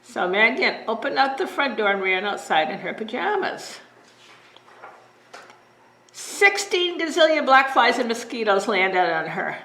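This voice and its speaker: Bright-voiced woman reading bedtime stories. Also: on the high side, unhurried. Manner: gentle expressive read-aloud. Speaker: librarian storyteller. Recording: roomy echo.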